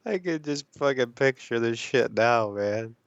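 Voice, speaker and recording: A man's voice: medium pitch, animated, measured pace, creaky, clear, resonant tone, dry recording, highs cut off. A man co-hosting a podcast in casual chat, reacting in a laid-back, sweary way. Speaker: podcast co-host.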